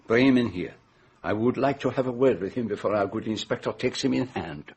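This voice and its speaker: Radio drama detective: Belgian French accent, proud and courteous, mid-pitched, slightly husky, deliberate. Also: male voice, a little mellow, muffled recording. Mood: courteous, probing. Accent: French-speaking Belgian. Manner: formal, deliberate, precise foreign phrasing. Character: proud, courteous, thoughtful Belgian male detective, middle-aged or older.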